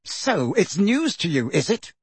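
A man's voice: high-pitched, very animated, measured pace, bright, forceful tone, breathy edge, dry recording, pitched above the performer's own voice, full character voice. A man voicing a pompous older government minister in an audiobook, blustering and heavily sarcastic. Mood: sarcastic, angry.

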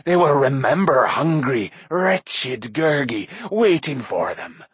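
A grovelling, pitiful half-beast creature, put on by a male narrator whines and wheedles for food. The voice is slightly husky and close, with no room sound. It is a storybook creature with a sly, self-pitying streak. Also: medium pitch, sing-song, takes it slowly, no echo, heavily acted. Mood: wheedling.

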